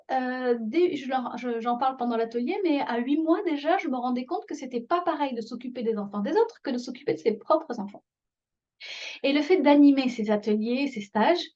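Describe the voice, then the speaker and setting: A woman's voice: a little high, a little mellow. Chatty French woman, lo-fi sound. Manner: chatty, many fillers and restarts. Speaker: parenting workshop trainer. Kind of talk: casual talk to camera.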